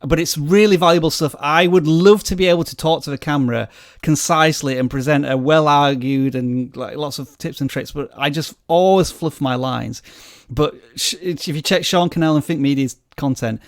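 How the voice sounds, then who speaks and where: A man's voice: fairly high pitch, moderate pace, dry recording, studio quality. A man hosting a live-stream Q&A, rambling and self-deprecating in a casual chat. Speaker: host.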